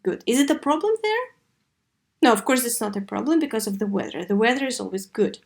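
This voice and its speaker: Higher-pitched woman teacher. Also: a little fast. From Russia. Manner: didactic, expressive model reading with clear questions. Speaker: English teacher for children. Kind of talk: video lesson for third graders.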